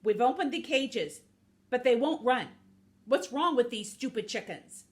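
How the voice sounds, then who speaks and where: Woman as male snake, grumpy, complaining, impatient, picture-book character, animated intonation. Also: a little high, slow pace. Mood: exasperated.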